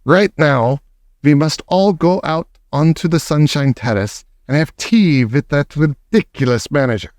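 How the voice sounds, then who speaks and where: A man's voice: fairly high pitch, unhurried, darkish tone, no room sound, clean studio sound, lightly acted. A man reading an audiobook, voicing a bossy, contemptuous female witch leader who barks orders. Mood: commanding. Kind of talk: audiobook character voice.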